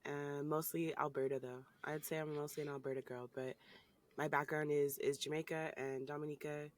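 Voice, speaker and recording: A woman's voice: sits low, level tone, moderate pace, no echo. A woman, a guest in a casual interview, talking in an easygoing, upbeat, joking way. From Canada. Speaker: guest, hip-hop artist.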